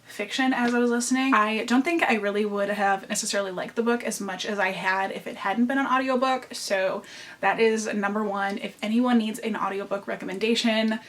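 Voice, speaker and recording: A young woman with a nasal Midwestern American accent talks through her book picks at a medium pitch. She gushes warmly and doubles up words for emphasis, like a chatty book vlogger. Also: fairly even intonation, fairly quick. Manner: gushing, emphatic repeats. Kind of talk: enthusiastic book recommendations.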